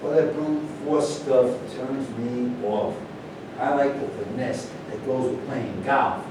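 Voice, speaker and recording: A man's voice: slow, natural voice. A man in a staged reading, playing a touchy, scoffing professional golfer with a defensive manner. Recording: echoey room, distant mic, noisy rumble.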